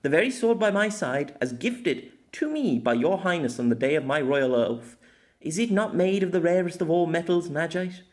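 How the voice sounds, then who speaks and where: A man's voice: fairly high pitch, expressive, normal speed, voice pushed up, close to an everyday voice. A man voicing a haughty, indignant young noble lady in an audiobook, with theatrical, accusing delivery. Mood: dramatic reading.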